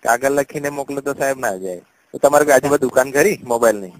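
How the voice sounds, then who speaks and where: Fast-talking Gujarati customer care man. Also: mid-range voice, dry recording, low-quality recording. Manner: patient, placating, deferential. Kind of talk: polite helpline conversation. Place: phone call.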